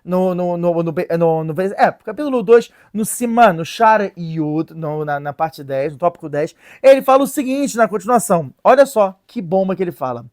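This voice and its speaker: A woman presenting a casual lesson in an animated, joking way, stopping to read and translate sources. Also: lowish voice, normal speed, hardly any pauses. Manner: animated, playful, joking asides; reads and translates sources aloud. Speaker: religious video presenter.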